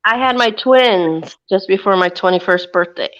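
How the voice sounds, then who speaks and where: A woman's voice: medium pitch, normal speed, a bit bright, dull audio. A woman chatting casually as a guest on a stream panel. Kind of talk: casual chat.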